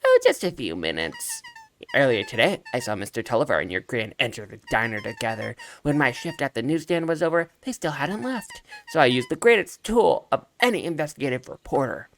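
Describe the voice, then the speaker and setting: A man's voice: medium pitch, wildly swooping pitch, a little fast, vocal fry, a bit bright, faint music behind, exaggerated voice. A man voicing an eager, sly young female animal reporter in a video game read-aloud. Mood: eager, confiding.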